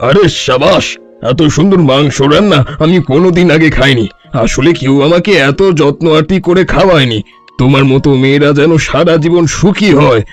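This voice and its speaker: Guy doing sneaky cowardly fake sadhu for a cartoon, kinda high voice, sweet talker, bit of music behind. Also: brisk, lightly acted. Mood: pleased, grateful. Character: sly fake holy man, sweet-talking swindler, cowardly when caught. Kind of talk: cartoon story voice acting.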